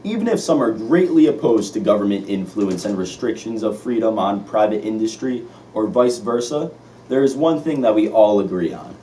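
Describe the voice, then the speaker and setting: A man's voice: lowish voice, mellow, lightly acted. A man playing an earnest 1950s news anchor in an amateur scripted mock newscast. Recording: distant mic, faint noise.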